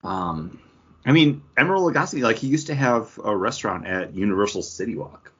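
A man's voice: fairly low pitch, expressive, normal speed, fairly bright tone, breathy edge. An American man chatting casually as a podcast co-host. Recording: dull, lo-fi audio.

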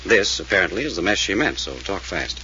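An actor playing a wisecracking male amateur detective in a 1940s radio drama, questioning in a brisk, wry, probing manner. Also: low voice, big pitch swings, bright, forceful tone, full character voice. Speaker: detective figure. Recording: dull old radio recording with faint hiss.

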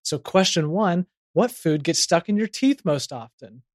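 A man's voice: high-pitched, animated, measured pace, no echo, clean studio sound. A man hosting a comedy podcast, reading playful scripted narration and ads. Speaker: podcast host.

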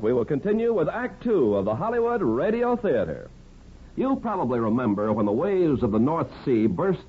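Husky, very animated American radio announcer. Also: male voice, mid-range voice. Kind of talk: 1950s scripted radio narration. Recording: tinny 1950s radio broadcast.

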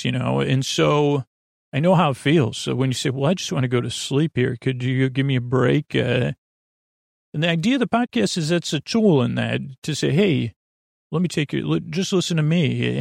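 Gravelly-voiced man rambling gently at bedtime. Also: mid-range voice, no room sound, studio quality. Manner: meandering, halting, self-interrupting sentences that trail off; gentle, reassuring, conversational. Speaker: podcast host. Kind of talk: rambling, soothing bedtime podcast monologue.

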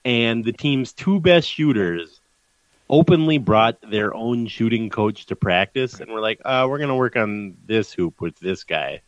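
A man on a sports podcast who speaks mid-pitch and tosses in dry, sarcastic jabs. The sound is dull and lo-fi, and close and dry, with no room around it. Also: no echo. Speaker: podcast co-host. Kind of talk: casual sports chat.

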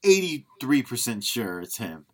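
A man's voice: mid-range voice, sing-song, measured pace. A man co-hosting a podcast, chatting about film in a joking, conversational manner. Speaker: podcast co-host. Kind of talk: casual film discussion.

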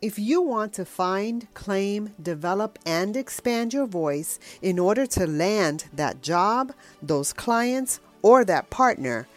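A woman podcast host whose first language was Spanish, asking questions and responding warmly. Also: mid-range voice, lively intonation, slow pace, hardly any pauses, soft background music. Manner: warm, affirming, brief responses. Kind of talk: interview hosting.

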